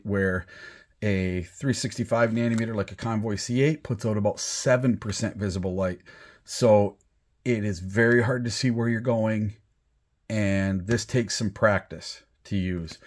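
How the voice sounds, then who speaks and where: A man with an American accent giving a casual, plain-spoken product demonstration, instructing step by step. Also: lowish voice. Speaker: product demonstrator and rock hunter. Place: small indoor room at a table. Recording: clearly audible background noise.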